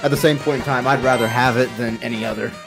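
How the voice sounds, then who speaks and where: A man's voice: mid-range voice, steady tone, fast talker, gravelly. A young man chatting on a live game stream, rambling and poking fun at himself with frequent laughs. His voice sits in an ordinary middle range. Faint music plays behind him. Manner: rambling, self-mocking, laughs often. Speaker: streamer co-host. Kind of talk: casual live stream chat.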